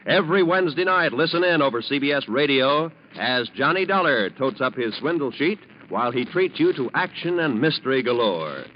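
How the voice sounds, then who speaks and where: A man's voice: mid-range voice, animated, a little fast, few pauses. A man announcing a vintage radio promo in a polished, emphatic style. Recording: muffled old radio broadcast, faint noise.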